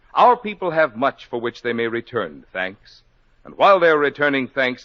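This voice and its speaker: Polished, declamatory 1940s radio announcer. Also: male voice, on the high side, bright, forceful tone, no room sound. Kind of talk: formal sponsor announcement. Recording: dry, dull 1949 radio broadcast.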